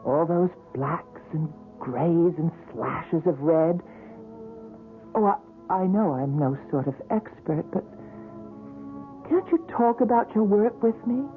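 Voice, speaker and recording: An actress playing a gentle woman who coaxes her troubled companion to open up, asking soft questions in a radio drama. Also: on the low side, lively intonation, slow. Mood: coaxing. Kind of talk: 1970s radio drama acting. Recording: tinny old radio broadcast, faint music.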